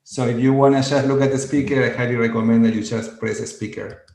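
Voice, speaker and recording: A man, a coach leading a slow, gentle centering exercise over a video call. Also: medium pitch, fairly even intonation, gravelly. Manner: slow, gentle, inviting instructions. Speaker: coach and conference presenter. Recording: roomy video call audio.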